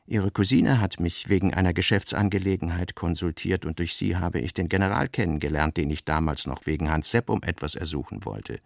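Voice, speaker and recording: Low, creaky male literary narrator. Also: a little fast, few pauses, no room sound, clean studio sound. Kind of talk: scripted literary narration for radio drama.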